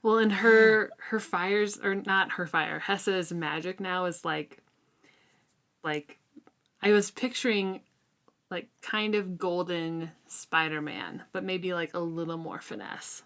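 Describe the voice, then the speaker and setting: A woman's voice: lowish voice, fairly even intonation, takes it slowly, fairly bright tone. A woman chatting casually as a podcast co-host, in a colloquial, conversational way. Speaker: co-host of a book discussion podcast. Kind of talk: casual chat recapping and reviewing a fantasy novel.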